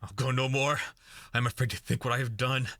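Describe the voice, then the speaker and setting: A guilt-racked, murderous thane, acted by a man in a Shakespearean radio play. The voice is tense and bright, recorded dry, haunted and fearful as it moves through the verse. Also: mid-range voice, flat delivery, fast talker, dry recording, lightly acted. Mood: guilt-stricken, afraid. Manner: haunted, intense, dramatic verse delivery.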